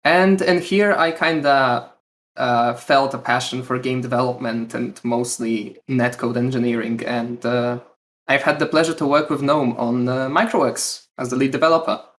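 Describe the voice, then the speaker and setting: A man's voice: mid-range voice, steady tone, moderate pace, fairly bright tone. A man, the lead developer guest on a podcast, speaking hesitantly with many fillers. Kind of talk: casual podcast interview.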